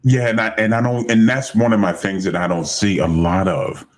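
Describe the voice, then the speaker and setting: A man's voice: on the low side, flat delivery, a little fast. A man hosting a podcast interview, admiring and searching for his words as he asks questions. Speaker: podcast host.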